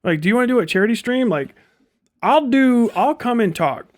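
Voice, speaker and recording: A man's voice: very high-pitched, expressive, fairly quick, a little hiss or hum. A man giving off-the-cuff livestream commentary in a blunt, profane, sardonic way. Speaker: live streamer.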